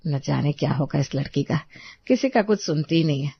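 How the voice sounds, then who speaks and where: A woman's voice: low-pitched, lively intonation, fairly quick, slightly rough, dry recording, voice pushed down, heavily acted. A woman voicing an anxious mother who frets and mutters in a cartoon story, recorded with a dull sound. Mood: worried. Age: middle-aged. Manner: worried, muttering. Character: anxious, fretting mother of a headstrong daughter. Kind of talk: acted cartoon story dialogue.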